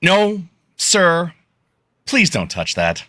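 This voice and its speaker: A man's voice: high voice, wildly swooping pitch, very slow, sharp tone, dry recording, exaggerated voice. A man playing an exasperated, hard-working starship engineer in a radio play, emphatic but straining to stay polite.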